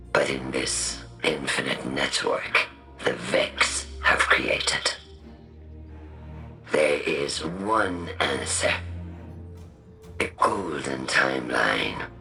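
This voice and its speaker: An actor voicing a grave, grandiose male visionary in a video game monologue, speaking in a slow, portentous, oratorical way. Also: a bass voice, vocal fry, sharp tone, heavily acted. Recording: music under the voice.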